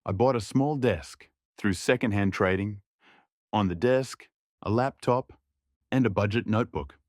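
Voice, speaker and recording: A young man narrating a drama in the first person, in a quiet, reflective way. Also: fairly low pitch, unhurried, no room sound, clean studio sound.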